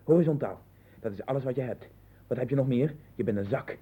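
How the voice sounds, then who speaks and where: Husky, obsessive Dutch actor playing a restless man. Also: mid-range voice, fast talker, natural voice. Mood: accusing, insistent. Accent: Netherlands Dutch. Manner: rambling, repetitive, insistent. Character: restless, obsessive man sinking into a strange inner world. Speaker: radio play actor. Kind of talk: 1960s Dutch radio drama acting. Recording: tinny band-limited 1960s radio recording.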